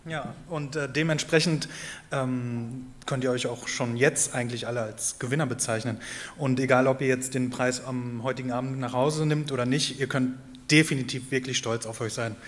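A man's voice: medium pitch, steady tone, moderate pace. A man on a theater stage encouraging the nominees with a short pep talk. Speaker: guest presenter at awards. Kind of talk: stage speech.

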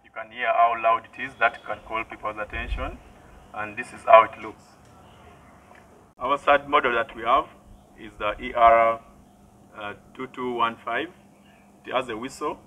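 A young man giving a product demonstration outdoors in short, halting statements, amplified through a megaphone. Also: mid-range voice, steady tone, lo-fi sound, slight background noise. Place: outdoors by a building wall, some distance from camera. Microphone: megaphone and headset into portable speaker.

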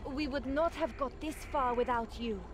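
A woman's voice: sits high, normal speed, noisy background, natural voice. A woman voicing a spirited, proud young desert fighter in a video game, firm and dramatic. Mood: solemn.

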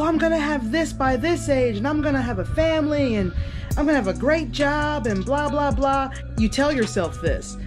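A woman in her thirties talking candidly to the camera in a casual home vlog, laughing easily. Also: fairly high pitch. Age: 30s. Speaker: vlogger. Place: bedroom at home.

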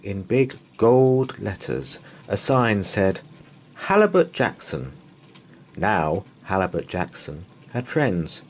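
A man reading a children's bedtime story aloud in an expressive, dramatic way with drawn-out pauses. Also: fairly low pitch, slight background noise. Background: open fire ambience.

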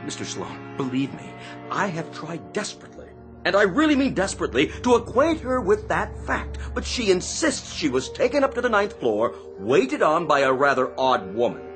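An actor playing a flustered, put-upon, deferential store floor manager, fussy and exasperated, in a radio play. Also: male voice, medium pitch, close to an everyday voice.

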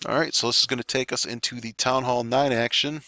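A man commentating a strategy game war replay in a relaxed play-by-play, his voice hoarse and raspy today, with fillers and occasional coughs. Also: medium pitch, fairly quick, no echo. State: voice getting raspy. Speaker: clan war recap commentator. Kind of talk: gameplay commentary.

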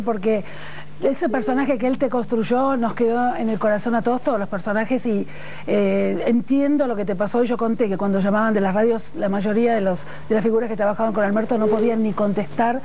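A woman's voice: fairly high pitch, steady tone, brisk, warm tone. A middle-aged woman with a Rioplatense Argentine accent hosting a live tribute interview in a TV studio. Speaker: TV host. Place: TV studio with guests. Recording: noisy old TV broadcast recording.

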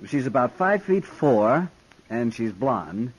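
An actor playing a prim, pompous city gentleman with a clipped, haughty manner in a 1950s radio drama. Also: medium pitch, sing-song, slow, husky, full character voice. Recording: dull old radio broadcast.

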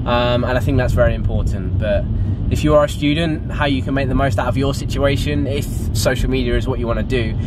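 A young man talks to his camera in a loose, rambling, chatty way, gesturing as he goes. The sound is the camera's own mic inside a car, with clear traffic and road noise around him. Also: mid-range voice. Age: 20s. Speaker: fitness vlogger. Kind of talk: casual vlog chat. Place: inside a parked car, then a home garage gym. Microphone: camera mic at arm's length. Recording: noisy car interior with traffic outside.

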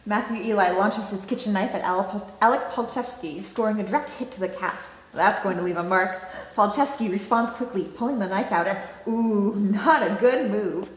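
Woman playing an excitable announcer in echoey room. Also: medium pitch, normal speed, natural voice. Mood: excited commentary. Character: excitable young woman play-by-play announcer cheerfully calling violent brawls. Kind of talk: informal script table read, sports-commentary delivery. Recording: echoey room with faint noise.

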